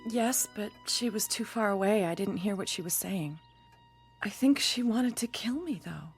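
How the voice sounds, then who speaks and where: An actress playing an anxious young heiress and dutiful daughter in a radio drama, earnest and hesitant. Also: female voice, medium pitch, moderate pace, soft background music, lightly acted. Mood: frightened. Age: young adult.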